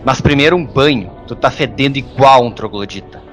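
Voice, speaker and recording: In this audio drama, a man plays a hairless hermit monk who is gruff and endlessly sarcastic. He grumbles and mocks in colloquial speech, at a medium pitch. Faint music sits behind the voice. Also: brisk, close to an everyday voice. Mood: sarcastic, grumbling.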